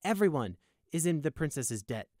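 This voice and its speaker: An earnest, disapproving pony royal guard acted by a man in an audiobook story. The voice sits high and moves with animated, assured conviction, lecturing as it goes. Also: moderate pace, no echo, studio quality. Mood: proud conviction. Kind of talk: audiobook character acting.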